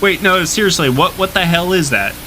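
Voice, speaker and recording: A man's voice: high voice, brisk, bright, forceful tone, slight background noise. A young man hosting a reaction video with slangy, joking, exclamatory casual commentary.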